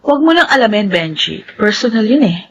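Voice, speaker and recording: A woman's voice: medium pitch, sing-song, fairly quick, highs cut off, a little hiss or hum. An actress playing a stubborn, scheming young woman in a Filipino radio drama. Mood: defensive, pleading.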